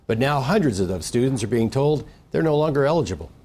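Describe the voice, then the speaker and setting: American guy, tv investigative reporter, scripted news voiceover, mid pitch. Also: animated, fast, darkish tone, a little hiss or hum.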